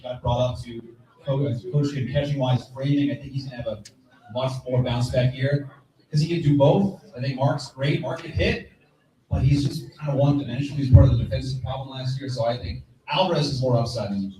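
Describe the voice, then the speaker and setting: A man's voice: medium pitch, a little fast, mellow. Distant, very echoey male sports panelist. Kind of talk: casual live panel chat. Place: live convention panel on stage. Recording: very echoey, distant, crowd noise.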